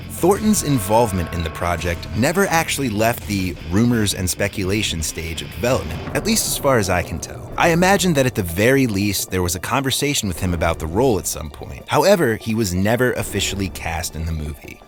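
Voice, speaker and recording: A man's voice: on the low side, sing-song, fairly quick, hardly any pauses, music in the background. A man hosting a video essay series, reading scripted narration in an informal, lightly wry manner.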